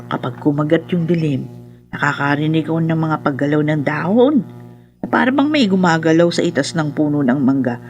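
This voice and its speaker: A woman's voice: low voice, lively intonation, normal speed, a little mellow, lo-fi sound, soft background music. A woman playing a middle-aged, superstitious mother who talks uneasily in a radio horror drama. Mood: uneasy.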